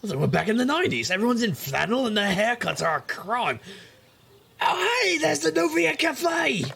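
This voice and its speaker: Man as a very gravelly, roguish private eye. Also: very high-pitched, sing-song, normal speed, bright, forceful tone, exaggerated voice. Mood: roguish enthusiasm. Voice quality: gravelly, husky. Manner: wry, world-weary wisecracking. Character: gruff, roguish, hard-drinking male private investigator narrator. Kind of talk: noir audio drama narration and dialogue.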